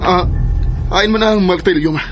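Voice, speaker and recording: An actor playing an eager, dreamy, lovestruck young son in a Philippine radio drama. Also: male voice, high voice, moderate pace, over music, lightly acted.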